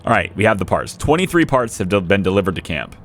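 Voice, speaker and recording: Lively low-voiced man, hoarse, playing games. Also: fast, a little hiss or hum. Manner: chatty, thinks aloud, talks to his units. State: losing his voice. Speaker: let's play host. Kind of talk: casual gameplay commentary.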